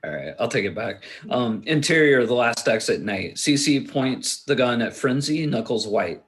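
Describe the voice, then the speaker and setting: A man's voice: mid-range voice, animated, normal speed, fairly bright tone. Man reading film stage directions. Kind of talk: scripted narration at a table read.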